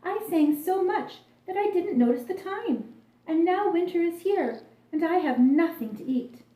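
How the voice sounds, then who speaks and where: A woman voicing a carefree, dramatic female grasshopper in a children's story, with expressive exclamations. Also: extremely high pitch, measured pace, echoey room, recorded from a distance, voice pushed up, low-quality recording. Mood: panicked.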